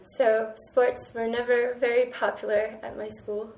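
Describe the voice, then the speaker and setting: A woman's voice: a little high, level tone, unhurried, darkish tone. A young woman from California chatting casually about her small university. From California, United States. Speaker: university student. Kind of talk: casual chat. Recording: echoey room.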